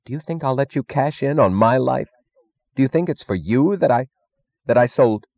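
A man's voice: lowish voice, expressive, a little fast, darkish tone, no room sound, lo-fi sound, close to an everyday voice. Man playing a proud, indignant old scientist. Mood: bitter contempt. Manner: educated, imperious, scornful outrage. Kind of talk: audiobook character acting.

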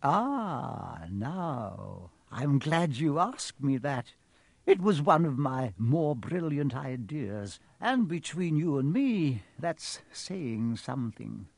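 A man voicing an elderly wizard headmaster in a storybook, dreamy, whimsical and gently pleased with himself, musing without hurry. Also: medium pitch, very animated, slow, vocal fry, breathy edge, no echo, full character voice. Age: older.

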